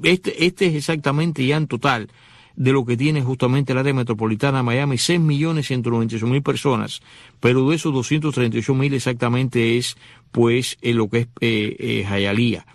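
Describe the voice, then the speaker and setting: A man's voice: mid-range voice, normal speed, no room sound. A man hosting a radio talk show, talking to the listener in a conversational way, with many fillers and restarts. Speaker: radio host.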